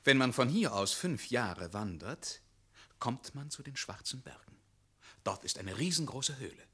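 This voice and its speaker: An earnest, courteous knight errant, in a theatrical radio play. The voice is bright and husky, swinging widely in pitch as he speaks with deliberate resolve. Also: sing-song, exaggerated voice. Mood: solemn, resolute.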